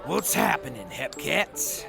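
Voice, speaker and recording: An actor playing a laid-back male captain who talks in old hipster slang, with a gravelly voice. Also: on the high side, sing-song, slow pace, music in the background, heavily acted. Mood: groovy. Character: groovy hippie-slang male army captain.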